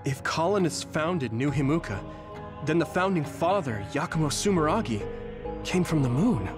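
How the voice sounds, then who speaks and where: A man's voice: fairly high pitch, expressive, normal speed, over music, lightly acted. A man playing an earnest, thoughtful young soldier in a video game. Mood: earnest, pondering. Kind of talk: scripted video game voice acting.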